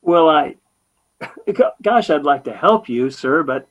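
An actor playing a naive, polite young husband who stammers and hesitates, in old-time radio comedy style. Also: male voice, on the high side, animated, measured pace, highs cut off, a little hiss or hum. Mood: earnest, hesitant.

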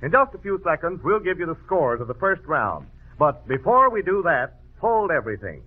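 Swooping, high-toned vintage radio pitchman. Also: sing-song, normal speed. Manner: emphatic, folksy sales pitch. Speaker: radio announcer. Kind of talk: 1940s radio commercial announcing. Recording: tinny vintage radio broadcast.

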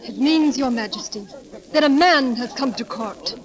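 A woman's voice: fairly high pitch, lively intonation, normal speed, over loud music, natural voice, poor audio. An actress playing a refined, earnest young lady-in-waiting in a romantic 1930s radio drama. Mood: poised.

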